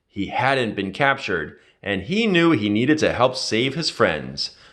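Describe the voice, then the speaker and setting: A man reading a children's adventure story aloud in an expressive, dramatic storytelling manner. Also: on the low side, slow. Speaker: read-aloud narrator.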